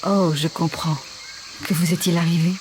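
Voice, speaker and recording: An actress playing a cool, self-assured, dryly skeptical adventuress in a video game. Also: female voice, fairly low pitch, steady tone, moderate pace, background noise, natural voice. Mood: matter-of-fact.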